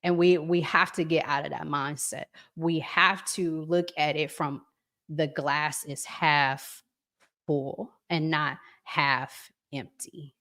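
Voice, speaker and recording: An American woman hosting a relationship talk show, chatting to viewers and giving advice in an earnest, emphatic, deliberate way with pauses. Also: deep voice, fairly even intonation, a bit bright. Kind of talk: live chat to viewers, reading comments, giving advice.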